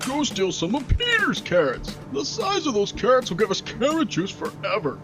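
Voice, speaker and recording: A man voicing a bossy, childish male raccoon gang leader who shouts whiny demands, with music behind. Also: extremely high pitch, wildly swooping pitch, normal speed, voice pushed up, exaggerated voice. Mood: bossy demanding.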